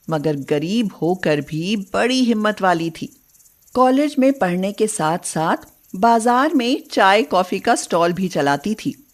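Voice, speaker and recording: A woman narrates a storybook tale at a slow, measured pace. Her pitch sits in the middle of the female range, and the delivery is scripted storytelling.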